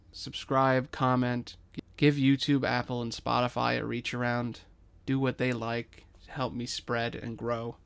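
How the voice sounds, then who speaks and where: A man with a gravelly, fry-edged voice at an average pitch. He narrates a scripted story and chats casually as the podcast's host.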